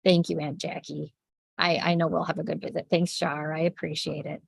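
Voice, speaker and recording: Woman, shop owner on a livestream, with a cold, sniffly and raspy, very gravelly, casual. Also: low-pitched, flat delivery, normal speed, dry recording. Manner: chatty, reads out viewer comments by name, many ums. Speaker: yarn shop owner hosting a weekly livestream. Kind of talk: casual chat with viewers.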